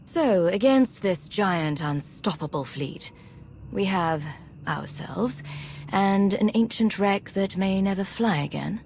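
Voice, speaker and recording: A woman playing a skeptical, practical agent commander in a sci-fi game, with dry, measured, questioning delivery. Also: mid-range voice, lively intonation, a little mellow, a little hiss or hum.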